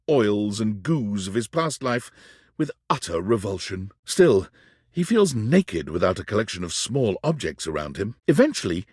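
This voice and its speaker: A man narrating a quirky dating game in a measured, expressive storybook style. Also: medium pitch, no room sound, studio quality.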